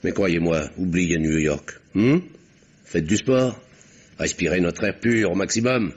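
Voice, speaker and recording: An actor playing a gruff, authoritative country doctor in an old French radio drama. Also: male voice, low-pitched, a little slow. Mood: firm, reassuring. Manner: authoritative, scolding. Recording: dull vintage radio recording.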